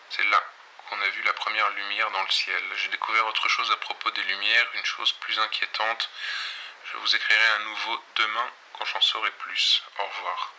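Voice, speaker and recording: Casting: male actor as irreverent night-time pirate radio host for audio drama; lowish, very sharp forward voice, weary offhand delivery. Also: a little hiss or hum, low-quality recording. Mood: measured, reading aloud. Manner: offhand, sardonic, slangy, halting. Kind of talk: casual improvised late-night radio monologue in audio fiction.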